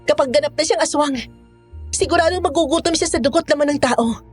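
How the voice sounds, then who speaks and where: A woman's voice: high-pitched, brisk, faint music behind, close to an everyday voice. A woman playing a firm, urgent female monster hunter in a Tagalog horror audio drama, speaking dramatically. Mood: grave, urgent.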